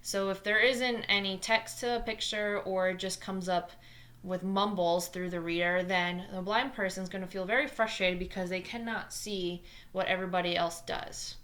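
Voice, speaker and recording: A woman in her twenties with a New Jersey accent, a math teacher talking fast and earnestly through a scripted lesson video. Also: medium pitch, fairly even intonation, fairly bright tone. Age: 20s.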